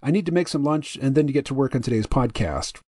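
A deep-voiced man hosting a podcast, reading his side of a dialogue in a measured, analytical way. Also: lively intonation, warm tone, dry recording, studio quality. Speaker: podcast host.

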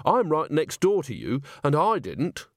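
Man as a glum, weary young woman, deadpan. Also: on the high side, unhurried, no echo, studio quality, full character voice. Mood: doubtful, polite. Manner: hesitant, deadpan.

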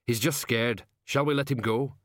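A man's voice: mid-range voice, fairly even intonation, moderate pace, fairly bright tone, no room sound, clean studio sound, lightly acted. A man voicing a plain-spoken henchman who reports to his boss in an audiobook. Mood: matter-of-fact.

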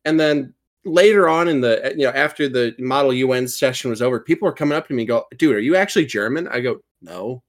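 A man's voice: medium pitch, fast, hardly any pauses. A young man in casual chat, telling stories about himself and laughing along. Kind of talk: casual chat among friends.

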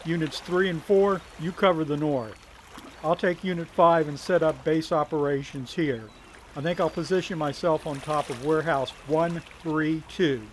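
Lead agent, 1930s crime serial male actor: fairly high male voice, brisk and authoritative, faint noise underneath. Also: fairly even intonation, lightly acted. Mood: commanding. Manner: military-style clipped orders. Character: commanding, brisk 1930s federal agent team leader. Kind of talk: 1930s thriller audio drama acting.

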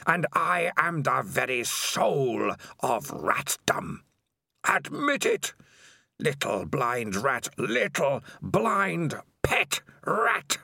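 A man reading an audiobook, voicing a ruthless male rat spirit in a shrill, hissing voice. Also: vocal fry, pushed and bright, breathy edge, no room sound, studio quality, heavily acted. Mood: taunting. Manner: shrill, hissing, raging then whispering. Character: menacing ratlike dark spirit, male, ruthless. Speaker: audiobook reader. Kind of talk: audiobook character voice.

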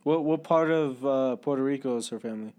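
A man's voice: medium pitch, a little fast, darkish tone, clean tone. A man in his twenties chatting casually on a podcast couch, telling stories and laughing often. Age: 20s. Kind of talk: casual chat.

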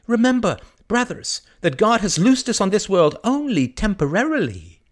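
Guy putting on a fired-up priest, way higher than his own voice, swinging all over while he preaches. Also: sing-song, moderate pace. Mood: fervent preaching. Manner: impassioned, sermonizing, rhetorical questions. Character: fiery preaching village priest, male, middle-aged.

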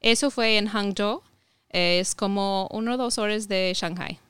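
American woman, very clear voice, speaking Spanish. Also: medium pitch, measured pace, a bit bright, dry recording, studio quality. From United States (Ohio). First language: English. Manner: hesitant, many fillers and restarts. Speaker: podcast guest. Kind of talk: casual interview conversation.

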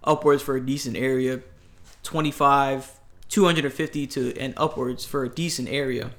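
A man's voice: mid-range voice, fairly even intonation, normal speed, fairly bright tone. A man, giving blunt advice in a casual chat, emphatic and rambling with restarts. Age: 20s.

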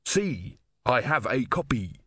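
A man's voice: mid-range voice, very animated, takes it slowly, fairly bright tone, breathy edge, dry recording, studio quality, full character voice. A man voicing a fervent, hot-headed male soldier in an audiobook story, urgent and zealous. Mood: reverent, urgent.

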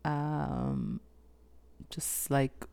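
A woman's voice: very deep voice, wildly swooping pitch, very slow, vocal fry, warm tone, clean tone. A young woman chatting casually as a podcast co-host, rambling, teasing and giggling often. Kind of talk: casual banter.

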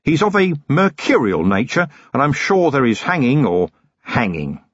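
A man voicing a weary, gruff city police commander who talks in a slow, deliberate, menacing way. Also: medium pitch, big pitch swings, dry recording, highs cut off, voice pushed up. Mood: dry.

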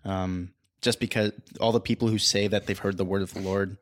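A man with a low voice co-hosting a casual faith podcast. He talks in a halting, thoughtful way, searching for his words and agreeing readily with the others. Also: little pitch movement. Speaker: podcast co-host. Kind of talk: casual discussion.

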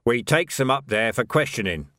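Dude voicing a sly bitter sergeant, grumbly, rough talk, bouncy pitch, dry close mic. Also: male voice, lively intonation, moderate pace, bright, forceful tone, no room sound. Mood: defensive. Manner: grumbling, scheming, common speech. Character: sly, resentful male sergeant. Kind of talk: audiobook character voice.

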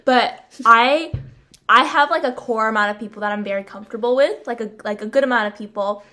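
A woman's voice: on the high side, a little fast. A woman in her twenties whose first language is Vietnamese, co-hosting a podcast in casual, slang-filled chat. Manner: chatty, animated, peppered with slang and "like". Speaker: podcast co-host.

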